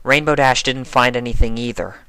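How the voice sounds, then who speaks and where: A man's voice: medium pitch, fairly even intonation, normal speed, bright, forceful tone, clear, resonant tone, no echo, pitched above the performer's own voice. Man doing an earnest, organised unicorn mare. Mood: reassuring.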